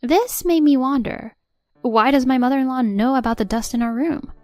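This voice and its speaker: A woman narrating a scripted first-person story in an even, measured reading. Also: sits high, no room sound.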